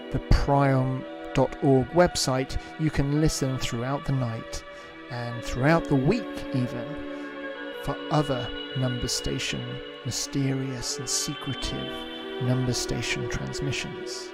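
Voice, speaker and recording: Male streamer, unhurried and explanatory, mid-pitched, casual live walkthrough with music underneath. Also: fairly even intonation, vocal fry. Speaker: livestream host.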